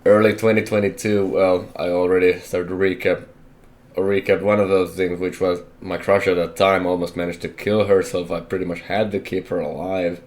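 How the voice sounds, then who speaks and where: Deep-voiced young man, halting and candid. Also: flat delivery, a little mellow. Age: young adult. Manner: halting, hesitant, self-correcting, candid. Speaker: vlogger. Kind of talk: personal life update.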